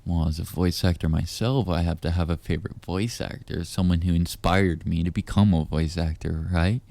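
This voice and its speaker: A man speaking in a hushed, teasing, deliberate way straight to the listener, as in an intimate audio roleplay, close on the mic. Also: sits low, steady tone, gravelly. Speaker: voice actor.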